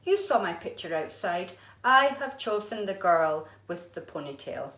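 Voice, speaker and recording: A woman's voice: fairly low pitch, sing-song, normal speed, fairly bright tone. A woman voicing a boisterous, kindly old male artist from a storybook, speaking emphatically. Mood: firm. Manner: emphatic, commanding yet kindly. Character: Picasso, boisterous laughing elderly man, playful artist. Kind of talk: storybook character voice. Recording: echoey room.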